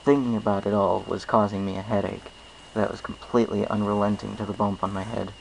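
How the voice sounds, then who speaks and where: A man narrates a story in the first person, reading it aloud as an amateur reader. His voice is on the low side with noticeable creak, and a faint noise sits behind it. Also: level tone, a little fast, low-quality recording.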